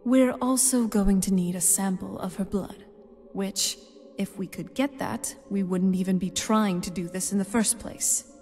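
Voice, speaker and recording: A woman playing a young-looking, knowing vampire in a fantasy video game, with a dry, measured way of explaining. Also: medium pitch, darkish tone, lightly acted. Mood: wry, frustrated. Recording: long hall reverb, music underneath. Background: game music.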